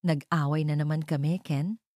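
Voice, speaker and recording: A woman's voice: sits low, fairly even intonation, moderate pace, dark tone, dry recording, clean studio sound, close to an everyday voice. A woman voicing a heartbroken, clinging young woman in an audio love drama, pleading and emotional. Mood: hurt, defensive.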